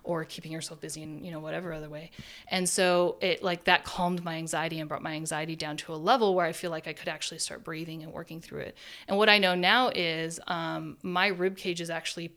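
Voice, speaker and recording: Low-voiced candid woman in her twenties. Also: little pitch movement, a little fast, fairly bright tone. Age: 20s. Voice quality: deeper voice than most women. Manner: candid, laughs often mid-sentence. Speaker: guest. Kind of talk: casual conversation.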